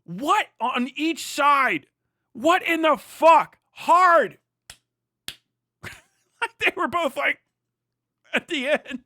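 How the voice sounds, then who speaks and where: A man's voice: very high-pitched, animated, very slow, long pauses, sharp tone, no echo. A man hosting a livestream with casual, opinionated commentary, rambling and emphatic, swearing freely. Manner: rambling, heavily profane, emphatic. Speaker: livestream host.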